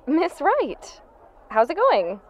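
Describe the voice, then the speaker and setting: An actress playing a dry-witted, supportive assistant on a phone call in an audio drama, matter-of-fact and reassuring. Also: female voice, high-pitched, huge pitch swings, slow pace, heavily acted. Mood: brisk, friendly. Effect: phone call filter. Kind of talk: naturalistic audio drama acting. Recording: slightly muffled phone-call sound.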